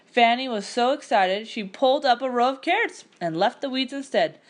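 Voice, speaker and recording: A woman narrating a picture-book story aloud in an expressive, scripted storytelling style. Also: fairly high pitch, normal speed.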